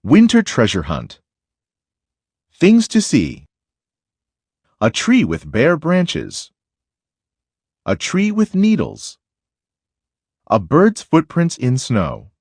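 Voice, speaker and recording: Slow, very animated man reading aloud. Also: fairly high pitch, creaky, husky, no echo. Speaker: reader of a children's picture book. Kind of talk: read-aloud of a children's nonfiction book.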